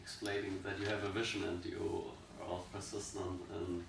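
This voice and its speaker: A man asking an applicant a probing follow-up question in a measured way. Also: deep voice, little pitch movement, vocal fry. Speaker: second interviewer. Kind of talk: interview questions. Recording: echoey room, distant mic, faint noise.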